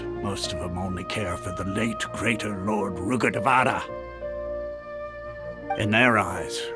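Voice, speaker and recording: Man as genial low-voiced retired mercenary. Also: close to an everyday voice. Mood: explanatory. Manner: hearty, matter-of-fact. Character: genial retired male mercenary advisor, worldly, frank. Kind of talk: video game cutscene acting. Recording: background music under voice.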